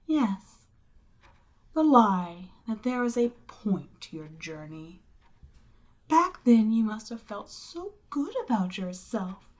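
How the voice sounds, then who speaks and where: A woman voicing a seductive, imperious demon queen in an audio roleplay, speaking deliberately and theatrically, with breathy near-whispered phrases. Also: medium pitch, big pitch swings. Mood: mocking, knowing. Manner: slow, deliberate, theatrical, persuasive. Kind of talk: roleplay audio drama, ASMR monologue.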